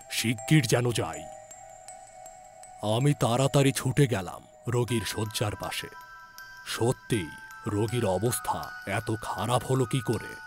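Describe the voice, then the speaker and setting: A man playing a village physician who recounts an eerie ghostly encounter in a measured, suspenseful audiobook narration. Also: lowish voice, close to an everyday voice. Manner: measured, suspenseful delivery with hushed drops and emphatic questions. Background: background music.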